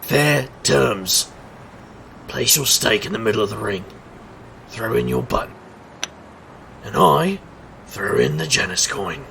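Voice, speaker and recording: A cocky trickster detective played by a man in an audio drama, his voice heavy with gravel and edged bright. He works like a street magician, wry and theatrical, with showy patter over faint background noise. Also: on the low side, expressive, slow pace, long pauses, heavily acted. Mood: showman patter. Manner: showman's sing-song patter, wry and theatrical. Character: male street magician private investigator, cocky, wisecracking trickster. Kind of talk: scripted audio drama acting.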